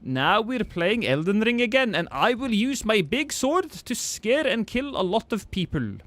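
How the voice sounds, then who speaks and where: A man's voice: high voice, big pitch swings, a little slow, a bit bright, pitched above the performer's own voice, heavily acted. A man putting on an exaggerated Norwegian accent, cheerful and sing-song. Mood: cheery.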